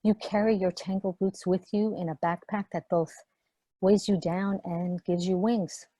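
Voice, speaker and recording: A woman reading her own lyrical prose aloud over a video call in a measured, poetic cadence. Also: mid-range voice, steady tone, dark tone, no echo, rough recording. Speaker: author reading her work.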